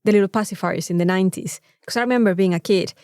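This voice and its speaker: Mellow Mexican woman chatting candidly on podcast. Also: mid-range voice, quick pace, dry recording, clean studio sound. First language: Spanish. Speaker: podcast co-host. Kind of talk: casual podcast banter.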